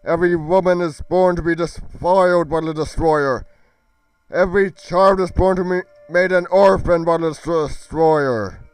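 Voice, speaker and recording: A man's voice: a little high, fairly even intonation, unhurried, clean tone, dry recording, soft background music, voice pushed up, natural voice, low-quality recording. A man playing a fierce, defiant fantasy warrior in a grandiose, declamatory manner.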